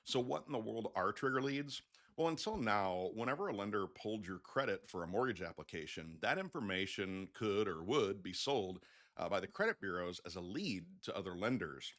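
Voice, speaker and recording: Man, lowish voice, conversational, folksy, casual explainer video host. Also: animated, moderate pace, a bit bright. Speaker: mortgage loan officer hosting an update video.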